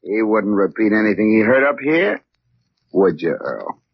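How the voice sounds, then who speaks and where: A man's voice: lowish voice, big pitch swings, normal speed, slightly rough, full character voice. An actor playing a sly, teasing, unruffled gambler crook, insinuating as he talks, in a 1940s radio drama. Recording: muffled old 1940s radio recording.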